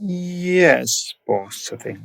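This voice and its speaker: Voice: mid-range voice, animated, very slow, gravelly, fairly bright tone, heavily acted. An actor playing a naive, trusting footballer in a radio play, hesitant and a little dim. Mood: hesitant, puzzled. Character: naive, loyal, well-paid young footballer. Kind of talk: radio drama acting.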